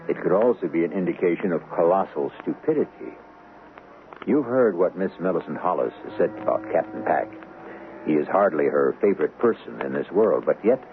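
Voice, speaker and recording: An actor as a wry, knowing host, giving theatrical scripted narration for an old-time radio mystery. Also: male voice, deep voice. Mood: teasing. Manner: wry, theatrical, deliberate phrasing. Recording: narrow, tinny radio broadcast, music behind.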